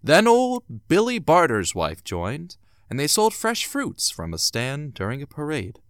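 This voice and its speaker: A man's voice: a little high, wildly swooping pitch, unhurried, dry recording, studio quality. A man narrating a scripted audio drama in a dramatic, theatrical storytelling manner. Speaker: narrator and announcer. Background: music.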